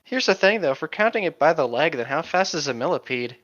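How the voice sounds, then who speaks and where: A man's voice: on the high side, animated, quick pace, fairly bright tone. A man chatting casually as a podcast co-host in a skeptical, questioning way, on a slightly lo-fi recording. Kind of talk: casual chat. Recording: slightly dull, lo-fi sound.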